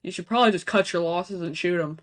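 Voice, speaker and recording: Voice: medium pitch, quick pace, fairly bright tone. A reaction video host reading on-screen text aloud and breaking into casual, speculative asides. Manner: casual asides between read-out passages.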